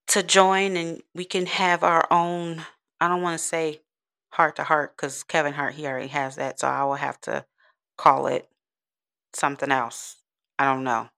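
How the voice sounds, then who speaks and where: A woman's voice: fairly low pitch, creaky, fairly bright tone. A woman, hosting a rambling, giggly solo podcast in a soothing voice. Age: 30s. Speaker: podcast host. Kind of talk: casual solo podcast chat.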